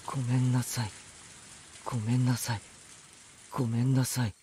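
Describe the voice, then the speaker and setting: A man's voice: medium pitch, level tone, fast talker, warm tone, slight background noise, close to an everyday voice. A male actor as a rattled teenage boy with a mid-range voice. He is polite and anxious, acted in visual novel style, with faint rain behind the voice. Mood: frantic, pleading. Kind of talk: Japanese visual novel acting.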